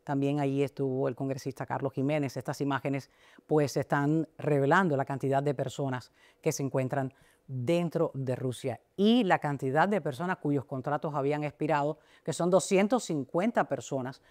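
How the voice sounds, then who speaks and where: A woman's voice: a bass voice, moderate pace, a little mellow. A woman speaking as the host of a broadcast interview.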